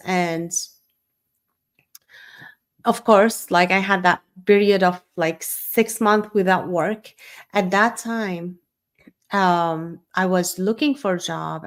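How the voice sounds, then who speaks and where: Slow, unscripted woman. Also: lowish voice. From Syria. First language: Arabic. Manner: loose, rambling, frequent fillers and restarts. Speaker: host. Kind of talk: unscripted live talk.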